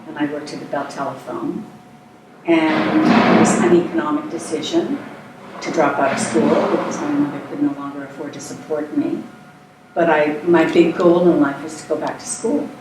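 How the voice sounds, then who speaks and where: An older woman born in Scotland, talking about her life in a relaxed, thoughtful way, pausing often as she answers. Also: low voice. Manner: relaxed, thoughtful, with frequent hesitations. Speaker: interview guest, actress. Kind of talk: casual conversational interview. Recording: echoey room, distant mic, faint noise.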